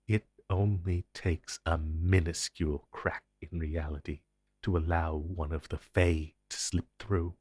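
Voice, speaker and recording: Guy doing an ancient monster boss for audio rp, really low and husky, grave, takes his time w big pauses. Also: steady tone, darkish tone, no room sound, clean studio sound. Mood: grave, confiding. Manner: grave, commanding, deliberate with dramatic pauses. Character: ancient, powerful, possessive male supernatural being, grim secret-agency superior. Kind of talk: intense dramatic audio roleplay monologue addressed to listener.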